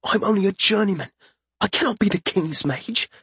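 A man's voice: high voice, animated, normal speed, mellow, slightly rough, no room sound, voice pushed up, heavily acted. A man voicing an earnest, self-doubting young mage in an audiobook, with an indignant, pleading manner. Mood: flustered disbelief. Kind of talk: audiobook character voice.

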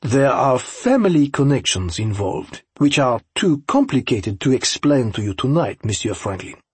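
A man's voice: mid-range voice, sing-song, moderate pace, husky, no room sound. A man voicing an earnest young European aide who interprets for his master in a formal, polite way, in an audiobook story. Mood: calm, urgent.

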